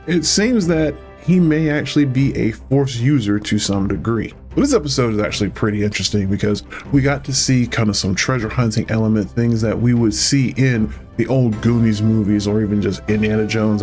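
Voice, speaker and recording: A man's voice: fairly low pitch, brisk, few pauses, darkish tone, faint music behind. A man hosting a casual solo reaction vlog, talking through his impressions as a reviewer. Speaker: YouTube host giving episode reactions.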